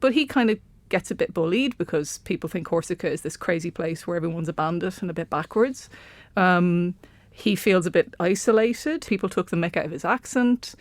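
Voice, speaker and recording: A British woman talks through history in a lively, storytelling way, with frequent ums and asides. Her voice is close and dry, recorded in a studio. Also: on the low side, a little fast, no echo. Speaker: guest historian. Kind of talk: casual explanatory chat. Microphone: studio microphone.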